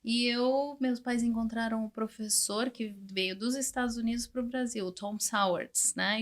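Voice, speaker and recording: A Chilean-born woman in her forties, a guest English teacher telling her career story in casual interview talk, animated and often ending with tag questions. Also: on the high side. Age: 40s.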